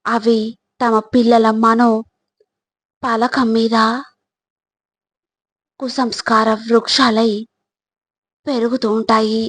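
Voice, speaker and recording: A woman reading scripted motivational narration in measured, deliberate short phrases. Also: fairly high pitch, level tone, no echo.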